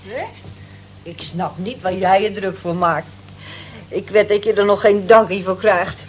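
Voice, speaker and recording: A woman's voice: medium pitch, fairly even intonation, a little slow, lightly acted. An actress playing a down-to-earth, scoffing cleaning woman in a radio play, with colloquial, teasing talk. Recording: dull, noisy old radio play recording.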